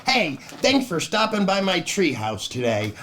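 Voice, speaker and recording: Older man, children's storytime host, high-pitched for a man, very animated, theatrical, music behind. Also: a little slow. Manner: animated, theatrical, addressing young listeners directly. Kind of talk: rhyming read-aloud narration and cheery chat to children.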